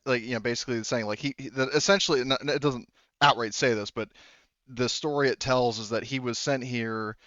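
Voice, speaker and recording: A man with a clean, resonant voice at a middling pitch acts as game master for a tabletop game. He explains in stops and starts, circling back to reword himself. The sound is very dry and a little dull. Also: a bit bright, clean tone, no room sound. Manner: halting, repeats and rephrases mid-sentence. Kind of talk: explaining plot while running a tabletop roleplaying game.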